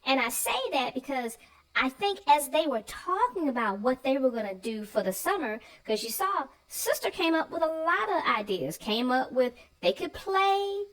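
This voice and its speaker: A woman with a high voice chats casually as a co-host about a story for children. Her intonation moves up and down a great deal, and she gives her opinions in an informal, conversational way. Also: big pitch swings, moderate pace, a bit bright, rough recording. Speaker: read-aloud show co-host.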